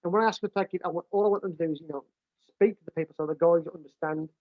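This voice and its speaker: A man's voice: a little high, very fast, no echo. British male coach, big voice, plain-spoken, repeats himself, dry and thin phone-quality sound. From United Kingdom. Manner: restarts and repeats words often, plain-spoken. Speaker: American football coach. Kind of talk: casual explanatory talk. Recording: dry, tinny phone-like line.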